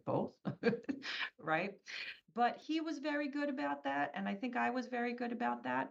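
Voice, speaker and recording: A woman's voice: mid-range voice, vocal fry, highs cut off. A woman whose first language is Polish, a business owner talking earnestly in an interview, often checking in with "right?" and repeating her points. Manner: earnest, tag questions "right?", emphatic repetition. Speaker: guest, scaffolding business owner. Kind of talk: conversational interview.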